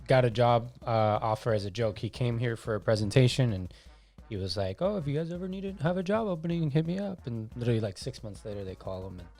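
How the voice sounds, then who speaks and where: A man's voice: medium pitch, fast, faint music behind. Easygoing joking man in his thirties. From Argentina. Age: 30s. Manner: relaxed, joking, rambling with fillers. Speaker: podcast guest. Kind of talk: casual podcast chat.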